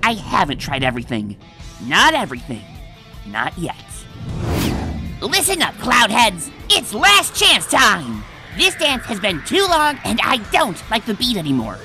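A woman's voice: medium pitch, huge pitch swings, unhurried, bright, forceful tone, faint music behind, exaggerated voice. A woman voicing a brash, cocky young female pony hero in a comic dub, boastful, impatient and dramatic. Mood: dejected, determined.

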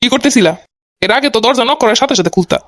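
Cartoon husband played by a woman: curt, bossy, Bangladeshi Bengali accent, very rapid delivery, close dry sound. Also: fairly high pitch, expressive, a bit bright, no echo, pitched below the performer's own voice. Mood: suspicious, annoyed. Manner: curt, nagging. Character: dismissive, bossy young husband, irritable and suspicious. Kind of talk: amateur cartoon dialogue.

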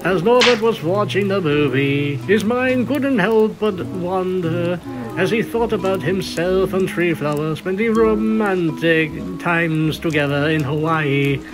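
A man's voice: high-pitched, measured pace, rough recording. A man reading scripted storybook-style narration of a cartoon story in a theatrical, presenting manner. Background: music behind the voice.

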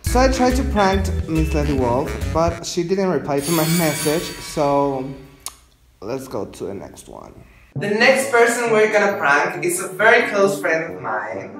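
Campy Mexican drag host, music behind. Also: male voice, a little high, very animated, slow. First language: Spanish. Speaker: drag performer hosting prank-call video. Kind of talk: casual prank-call chat. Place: living room, seated on a sofa. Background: background music.

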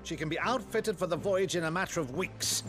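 An actor playing a theatrical older nobleman, warm and wry, with a British accent and grand old-fashioned phrasing in a video game. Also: high voice, a little fast, natural voice. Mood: wry, resolved. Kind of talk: dramatic video game cutscene acting. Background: background music.

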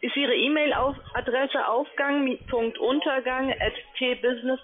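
A woman's voice: high voice, steady tone, unhurried. A woman call centre agent making a formal, scripted sales call. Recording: tinny narrow phone line.

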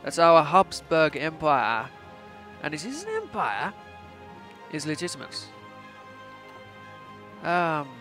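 A man's voice: medium pitch, fairly bright tone. A man in his twenties playfully commentating on a strategy game in a mock-imperious, theatrical way, putting on an Austrian accent. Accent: put-on Austrian. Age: 20s. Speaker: gaming channel host.

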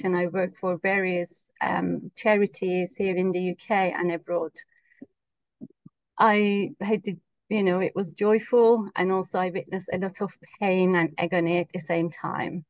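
A woman's voice: lowish voice, no echo. Earnest woman from Turkey, dry sound. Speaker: program co-leader. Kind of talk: webinar self-introduction. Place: wood-panelled room, indoors. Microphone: video call.

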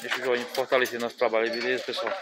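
A man with a Northeastern Brazilian accent, filming a livestock fair on his phone and chatting loudly with sellers, calling each one boss. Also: mid-range voice, little pitch movement, very fast. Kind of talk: casual walking commentary. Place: outdoor animal fair, small town. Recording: outdoor crowd noise. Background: crowd and animals.

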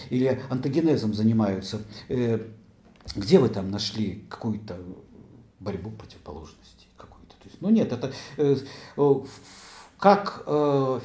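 A middle-aged man speaking in a philosophical seminar, halting and often repeating and restarting his phrases. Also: mid-range voice, dark tone. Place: small meeting room, indoors. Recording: echoey room.

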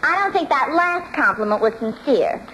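High-voiced, vain New tavern girl. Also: expressive, normal speed. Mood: skeptical. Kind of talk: 1940s radio comedy acting. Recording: noisy old radio broadcast, studio audience.

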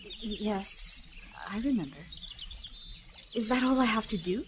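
A woman playing a sick local woman in an audio drama, with a halting, deliberate, dramatic delivery. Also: mid-range voice, noisy background, natural voice. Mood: earnest, pondering. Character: sick local woman, pleading, then scornful and defiant.

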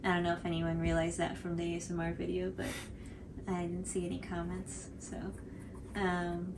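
A woman's voice: on the low side, monotone, normal speed. A woman, chatting casually to camera in a hesitant, self-deprecating way. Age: 20s. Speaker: cosplay channel host. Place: small room indoors on a couch. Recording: clearly audible background noise.

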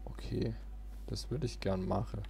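A man's voice: fairly low pitch, fairly even intonation, a little slow, gravelly, background noise. A man casually commentating as he plays a video game. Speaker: let's player.

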